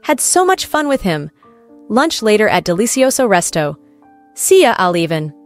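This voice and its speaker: A woman's voice: mid-range voice, very animated, a little slow. A woman playing a gossipy young female friend in a romance audiobook. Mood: chirpy. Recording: music bed behind the voice.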